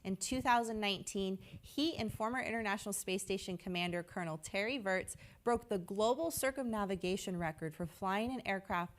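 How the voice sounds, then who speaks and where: A woman's voice: mid-range voice, brisk, hardly any pauses. A woman co-hosting a live launch broadcast, introducing crew with upbeat presenter delivery. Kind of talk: scripted live broadcast commentary.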